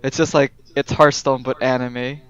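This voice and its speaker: A man's voice: mid-range voice, flat delivery, moderate pace, clean tone. A man chatting casually about games as a podcast guest, in loose phrasing padded with fillers, on dull lo-fi audio. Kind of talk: casual gaming chat.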